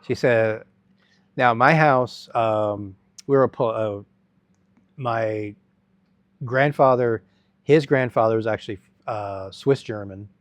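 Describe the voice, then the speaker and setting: A middle-aged man telling his life story as an interview guest, halting and rambling with many restarts. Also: mid-range voice, dry recording.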